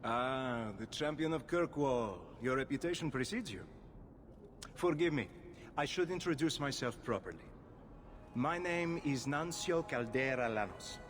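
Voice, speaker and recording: A man's voice: mid-range voice, expressive, a little slow, fairly bright tone, faint music behind. A man playing a suave, flowery nobleman in a video game, speaking in a courtly, theatrical manner. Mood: charming, boastful. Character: suave, flowery Antivan nobleman hunting an assassin. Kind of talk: video game cutscene acting.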